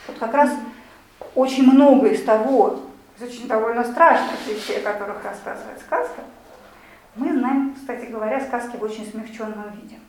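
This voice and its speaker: A woman's voice: on the high side, normal speed, darkish tone, a little hiss or hum. Woman lecturing in an echoey room. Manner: explanatory, conversational, many fillers. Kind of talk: lecture with audience discussion.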